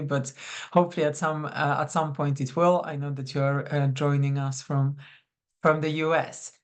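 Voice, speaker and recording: Welcoming woman coach. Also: deep voice. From Poland. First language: Polish. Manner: welcoming, chatty, greets attendees by name. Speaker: psychonutrition coach. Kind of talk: live online masterclass. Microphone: video call.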